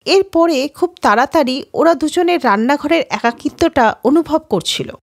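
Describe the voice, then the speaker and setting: A woman narrating a scripted children's story in an expressive storytelling manner. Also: sits high, moderate pace, no room sound, studio quality.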